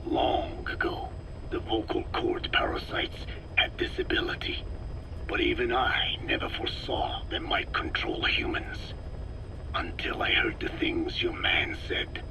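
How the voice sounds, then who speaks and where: An actor playing an elderly, erudite male scientist in a video game, speaking in a breathy rasp with slow, deliberate, grave explanations. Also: fairly low pitch, noisy background. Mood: grave, eerie fascination. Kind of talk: video game audio drama acting.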